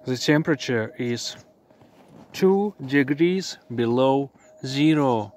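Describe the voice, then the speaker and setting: A man in his thirties presenting a radio hobby vlog outdoors in short, plain, halting phrases. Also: medium pitch, expressive, darkish tone. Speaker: amateur radio operator presenting his field outing. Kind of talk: simple scripted vlog narration. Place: outdoors in a snowy forest.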